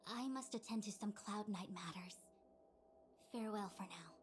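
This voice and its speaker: A woman playing a composed, dutiful master diviner in a video game, speaking in a formal, measured and precise way. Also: mid-range voice, a little hiss or hum, close to an everyday voice. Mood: polite, brisk. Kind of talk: scripted video game dialogue.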